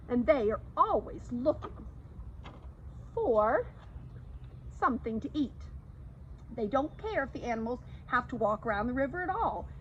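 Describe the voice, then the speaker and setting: A woman reading a children's book aloud as a storyteller, in an expressive read-aloud style. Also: high-pitched. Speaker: storytime reader. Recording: slightly dull audio, faint background noise.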